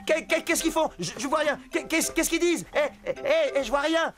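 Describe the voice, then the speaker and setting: A woman voicing an excitable, bumbling young male puppy in a cartoon, stammering and repeating words. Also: sits high, normal speed, soft background music, close to an everyday voice. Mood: frantic. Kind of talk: French cartoon dubbing.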